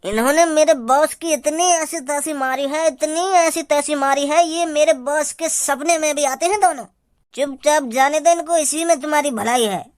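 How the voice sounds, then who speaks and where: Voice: high-pitched, normal speed, no echo, clean studio sound, natural voice. A performer voicing a blustering male henchman in a cartoon comedy, giving repetitive warnings. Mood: alarmed warning.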